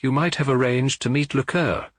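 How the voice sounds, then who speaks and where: A man's voice: medium pitch, normal speed, dry recording, voice pushed up, close to an everyday voice. A man voicing a detective's mild, inquiring middle-aged wife in an audiobook story.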